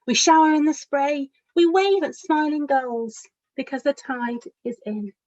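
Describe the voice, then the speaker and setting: A woman's voice: sits high, unhurried, a little mellow, no room sound, lo-fi sound. A woman children's author reading a picture book aloud expressively, speaking directly to young listeners.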